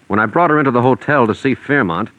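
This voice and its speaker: An American actor playing a shrewd, dogged private investigator, calm and calculating, in 1940s radio mystery style. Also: male voice, mid-range voice, fast talker, no room sound, natural voice, poor audio.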